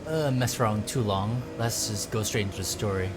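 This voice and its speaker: A man's voice: lowish voice, moderate pace. A man giving casual livestream gameplay commentary, rambling and thinking aloud. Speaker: game streamer. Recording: music behind the voice. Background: game music.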